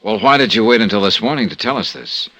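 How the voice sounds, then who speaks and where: A man acting a shrewd, persistent American insurance investigator in a 1950s radio drama, brisk and wry. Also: lowish voice, vocal fry, bright, forceful tone, no room sound, exaggerated voice. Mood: probing. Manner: brisk, wry, probing questions. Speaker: lead actor and first-person narrator.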